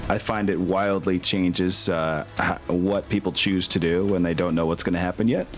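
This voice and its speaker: A man with a low voice runs a tabletop game as its game master. He talks informally, narrating and thinking aloud through the rules, with music clearly playing behind him. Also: steady tone, normal speed.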